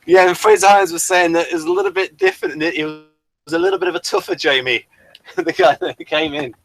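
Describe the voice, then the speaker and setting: A man's voice: high-pitched, brisk, vocal fry, a bit bright, no echo. A man, hosting a casual review chat. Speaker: host of a TV review show.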